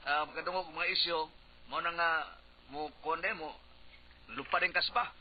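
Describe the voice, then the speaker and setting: A man's voice: fairly high pitch, steady tone, moderate pace. A man with a Cebuano accent hosting a casual radio show, chatting with co-hosts and giving advice to listeners. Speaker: radio host. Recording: dull lo-fi radio recording, faint noise.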